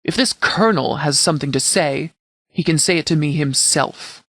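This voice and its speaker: A man voicing a stern, commanding sheriff who leads a wasteland militia in a video game, speaking in a formal, measured, authoritative way. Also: on the high side, fairly bright tone, no echo. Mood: resolute. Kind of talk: scripted video game dialogue.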